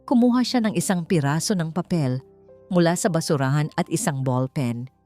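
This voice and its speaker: A Filipino woman reads a dramatic audiobook story in a lowish voice. Her delivery is theatrical and very lively in intonation, with faint music underneath. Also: normal speed. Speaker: audiobook narrator. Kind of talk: dramatic scripted story narration.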